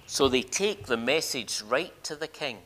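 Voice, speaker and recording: Older preacher, animated and echoing. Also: male voice, mid-range voice. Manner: emphatic, dramatic pauses, repeats phrases. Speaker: minister. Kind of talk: sermon, storytelling to a congregation. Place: large church interior. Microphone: lectern microphone. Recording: reverberant church hall.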